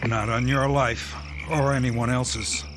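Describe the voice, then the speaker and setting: An actor playing a resolute army captain in a radio drama, strained and commanding, speaking in clipped military phrases. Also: male voice, medium pitch, fairly even intonation, slow, heavy background noise, close to an everyday voice. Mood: wary, firm. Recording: loud music and effects behind the voice.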